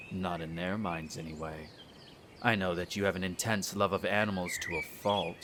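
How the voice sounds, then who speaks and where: A man's voice: on the low side, a little hiss or hum, close to an everyday voice. A man playing an irritable aristocratic vampire in a roleplay audio drama, speaking formally with sneering condescension toward humans. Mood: cold disdain. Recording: nature ambience with birdsong behind. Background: birdsong and garden ambience.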